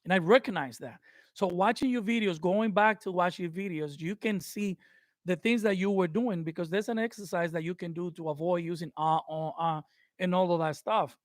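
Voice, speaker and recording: A man's voice: high voice, normal speed, no echo, studio quality. A man whose first language is Spanish gives casual advice to viewers, hesitant and full of fillers and restarts. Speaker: YouTube creator. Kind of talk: casual advice talk to camera.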